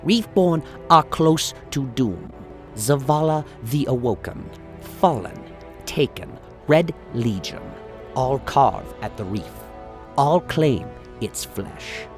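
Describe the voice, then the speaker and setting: A man voicing a humble alien scribe in a radio play, with a croaking, burbling voice and halting, solemn, earnest speech. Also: medium pitch, lively intonation, darkish tone, soft background music, pitched above the performer's own voice. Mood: pleading.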